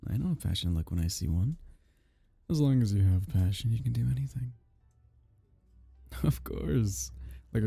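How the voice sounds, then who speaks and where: A man acting a geeky, awkward male kitsune on a blind date in an ASMR roleplay, halting, stammering and apologetic. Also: lowish voice, gravelly, dark tone, slightly rough, no echo, heavily acted.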